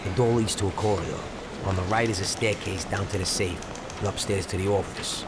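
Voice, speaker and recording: Actor as a low-voiced, scheming gangster. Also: male voice, fairly even intonation, brisk, natural voice. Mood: businesslike scheming. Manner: blunt, streetwise. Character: scheming, brisk gangster planning a robbery. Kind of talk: video game cutscene acting. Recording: noisy street ambience with vehicles.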